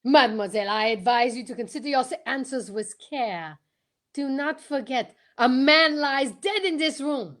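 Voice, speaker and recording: A woman playing a pompous, courteous male detective, formal and deliberate, in old-time radio mystery style. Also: high-pitched, animated, fairly bright tone. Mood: probing. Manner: formal, deliberate, theatrical questioning.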